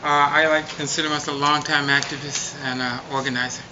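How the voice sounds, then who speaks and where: Male activist, slightly high voice, halting intro; dull, noisy capture. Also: level tone. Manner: measured, halting. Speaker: activist and organizer. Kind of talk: self-introduction.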